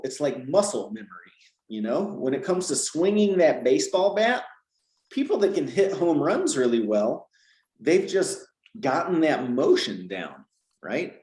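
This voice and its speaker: A man in his conversational stride explains language learning as a teacher, tagging his points with quick checks for agreement. His medium-pitched voice bounces around a roomy, echoing space. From Georgia, US South. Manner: animated, explains with repeated "right?" tags. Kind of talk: conversational interview.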